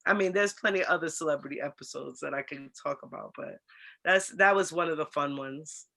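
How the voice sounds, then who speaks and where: An American woman chatting and telling stories casually as a co-host, in an animated, slangy, expressive way. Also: deep voice, brisk, gravelly. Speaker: co-host of an online talk show. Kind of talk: casual chat and storytelling.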